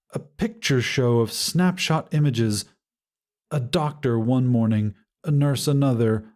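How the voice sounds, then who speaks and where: Guy reading an audiobook story, really slow and measured, dramatic pauses, average pitch. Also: a little mellow. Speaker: audiobook narrator. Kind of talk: scripted first-person story narration.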